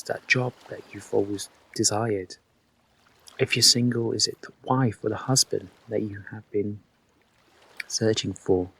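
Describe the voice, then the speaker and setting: A man with a fairly low, husky voice guides a meditation. His delivery is soft and breathy, with gentle, unhurried instructions addressed reassuringly to the listener. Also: rough recording.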